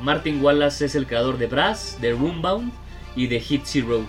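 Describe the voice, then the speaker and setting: A man hosting a casual talk, reading out listener questions in a relaxed, filler-filled way. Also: medium pitch, normal speed, over music. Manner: relaxed, filler-heavy, conversational. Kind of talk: casual interview chat.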